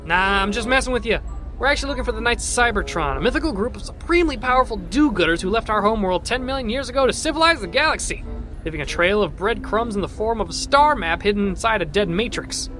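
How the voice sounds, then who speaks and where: A man plays an excitable little robot who can't stop talking, rattling off ideas and jokes. His voice is bright and springs up and down all over the place, with faint music behind. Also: sits high. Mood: excited. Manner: rapid, chirpy, rambling, joking. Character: chatty excitable robot bartender, male. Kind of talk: fan audio drama acting.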